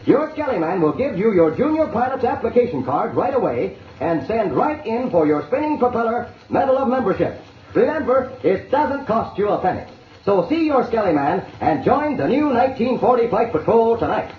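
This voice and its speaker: A man with an American accent announces a 1940s radio serial for youngsters, chummy and dramatic. His voice sits high and swoops with emphasis. The old recording is echoey, with a steady layer of noise. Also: moderate pace. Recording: echoey, noisy, dull 1940 radio transcription.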